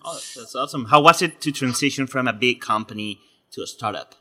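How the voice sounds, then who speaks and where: A man hosting a podcast, talking with upbeat energy. His voice has a bright, forceful edge and sits at a middle pitch. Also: a little fast. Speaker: podcast host.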